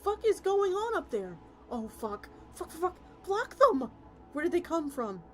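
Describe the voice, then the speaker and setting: A woman voicing an excitable, sweary football player in a dramatic story reading, frantic and exclamatory. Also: very high-pitched, a little slow, faint music behind, voice pushed up, full character voice. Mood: panicked. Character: excitable, foul-mouthed football player and play commentator.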